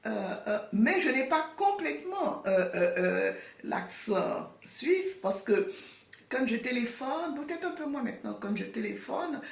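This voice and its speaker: A woman from Haiti speaks with a Swiss French accent and a slight Haitian trace. She talks very slowly and thoughtfully, with a lively, moving pitch and a gravelly edge. She is recorded from a distance in an echoey room. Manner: slow, hesitant, thoughtful. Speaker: interviewee. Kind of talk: documentary interview.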